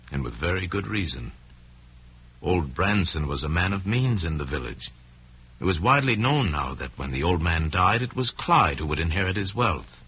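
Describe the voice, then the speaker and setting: A man narrating a crime story in old-time radio style, deliberate and suspenseful, with dramatic pauses. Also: low voice, sharp tone, breathy edge. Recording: dull, lo-fi old radio recording.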